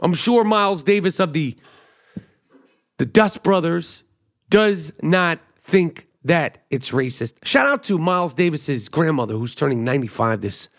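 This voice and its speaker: A man hosting a podcast, rambling through a profane, self-mocking comedic monologue. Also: medium pitch. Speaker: podcast host.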